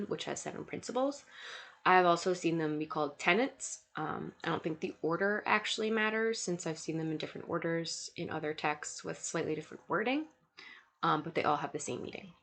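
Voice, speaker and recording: A woman's voice: low-pitched, fairly bright tone. A young woman with a cold and a nasal, stuffy voice, giving a recorded slide lecture and reading through lists of points with frequent fillers. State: sick, nasal congestion. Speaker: student lecturer.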